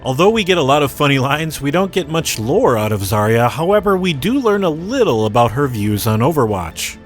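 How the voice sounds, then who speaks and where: Animated man narrating a scripted video, music behind. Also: mid-range voice, brisk. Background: background music.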